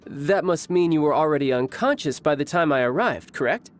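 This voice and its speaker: A man playing a suave young warrior in an anime game, smooth, theatrical and playfully teasing. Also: on the high side, lively intonation, fairly quick, lightly acted. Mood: curious, genial.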